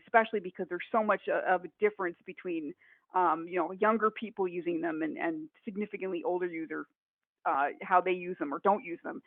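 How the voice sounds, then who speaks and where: Hoarse woman presenting over a tinny line. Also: medium pitch, no room sound. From Northern Ohio, USA. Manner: conversational, many fillers and restarts. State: hoarse, coughing. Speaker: conference presenter. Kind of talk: webinar presentation. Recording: dry, phone-like audio.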